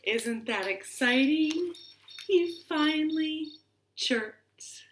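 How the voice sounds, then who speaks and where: Slow, expressive woman reading to young children. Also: sits high, slight background noise. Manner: expressive, deliberate, asks the listeners questions. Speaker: children's librarian storyteller. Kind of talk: storytime read-aloud and rhymes for young children. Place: living room at home. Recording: roomy, echoing living-room sound.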